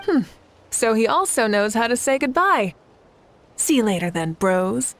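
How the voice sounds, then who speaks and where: A woman's voice: on the high side, sing-song, a little slow, a little hiss or hum. An actress playing a composed, confident young female leader in a video game, poised and wryly amused. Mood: friendly. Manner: poised, wry.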